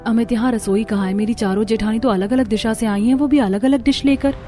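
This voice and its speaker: A woman voicing a polite, plaintive young daughter-in-law in a cartoon family drama, soft and earnest. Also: on the high side, fairly even intonation, fairly quick, soft background music, close to an everyday voice. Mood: puzzled.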